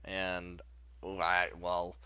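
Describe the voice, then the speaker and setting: Slow-paced man with a bright, deep voice. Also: completely flat delivery, smooth, no echo. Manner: rambling, informal, chuckles often. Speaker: video host. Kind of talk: casual video countdown commentary. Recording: music playing behind the voice. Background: background music.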